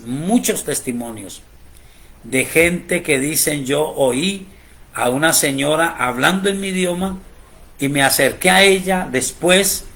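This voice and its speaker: A man teaching a Bible program as a pastor, preaching slowly and emphatically, his voice rising on key points. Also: medium pitch, vocal fry, a bit bright.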